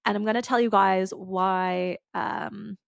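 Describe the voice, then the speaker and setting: A woman's voice: medium pitch, steady tone, takes it slowly, creaky, dry recording, studio quality. A woman hosting a solo podcast, talking casually with lots of "like" fillers, her voice stuffy and congested. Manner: chatty, casual, with frequent "like" fillers. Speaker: podcast host.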